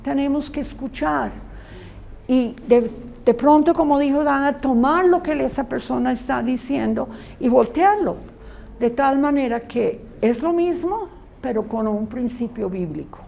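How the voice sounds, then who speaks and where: Slow, gravelly-voiced woman teacher. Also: high-pitched, lively intonation, warm tone, slight background noise. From Colombia. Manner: earnest, conversational teaching with asides. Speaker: Bible institute teacher. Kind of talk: classroom lecture.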